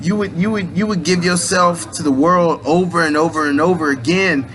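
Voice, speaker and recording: A man's voice: a little high, normal speed, fairly bright tone, soft background music. A man in his late thirties giving a rambling, casual spiritual pep talk in loose slang, restarting his sentences often. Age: 30s. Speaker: aspiring minister and motivational speaker.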